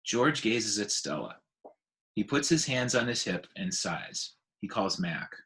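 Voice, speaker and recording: A man reading a children's novel aloud in an expressive storytelling manner. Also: lowish voice, a little slow. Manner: expressive, with voiced dialogue.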